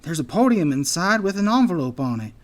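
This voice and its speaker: An anxious anteater from a fantasy storybook, acted out by a man reader. His voice sits high and frets along hesitantly, always worried for his friend. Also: big pitch swings, fairly quick. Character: nervous, fretting male anteater friend in children's fantasy.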